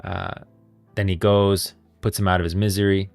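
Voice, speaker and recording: A man doing live stream commentary on a game's story, speculating excitedly and addressing his viewers. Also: sits low, moderate pace, creaky, no echo. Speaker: streamer and game lore commentator. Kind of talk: live stream commentary reacting to game story, reading dialogue aloud. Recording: background music under voice. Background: faint music.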